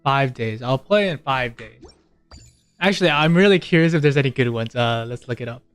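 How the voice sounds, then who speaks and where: A man's voice: mid-range voice, animated, fairly quick, clean tone, no echo, soft background music. A man giving casual gameplay commentary, talking offhandedly as if to himself while he plays. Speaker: gamer, streamer.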